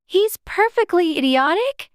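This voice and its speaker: A man's voice: very high-pitched, lively intonation, measured pace, dry recording, studio quality, voice pushed up. A man voicing a polite, curious little girl in a storybook, earnest and proper. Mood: exasperated.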